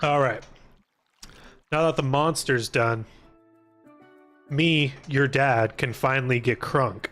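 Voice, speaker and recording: A man's voice: medium pitch, takes it slowly, long pauses, fairly bright tone, soft background music. A man giving casual, rambling gaming stream commentary, thinking aloud and joking as he plays. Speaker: video game livestreamer.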